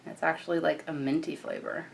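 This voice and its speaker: A young woman with a croaky morning voice, chatting casually as she walks through a makeup tutorial. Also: sits low, brisk, slight background noise. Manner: chatty, relaxed, frequent fillers and restarts. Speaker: beauty vlogger.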